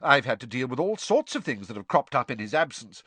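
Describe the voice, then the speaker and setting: A man's voice: mid-range voice, very animated, brisk, pushed and bright, slightly rough, no echo, voice pushed up, full character voice. A man reading an audiobook, voicing a pompous, self-important young male official.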